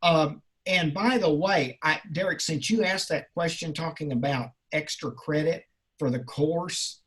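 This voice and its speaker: A male college instructor talking informally with a class in a halting, rambling, encouraging way. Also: on the high side, fairly bright tone, slightly rough. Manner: encouraging, reassuring. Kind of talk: informal class question-and-answer.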